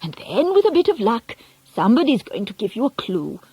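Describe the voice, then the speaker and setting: Woman acting a feisty, husky old grandmother. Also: on the high side, very animated, brisk, dark tone, no echo, exaggerated voice, rough recording. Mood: doting, scheming.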